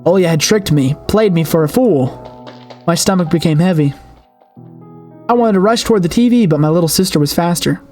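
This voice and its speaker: A woman hosting a gaming video, reading a horror game's story aloud in an expressive storytelling manner with her own asides. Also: fairly low pitch, fairly quick. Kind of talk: dramatic read-aloud narration of a horror game with asides. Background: game soundtrack music.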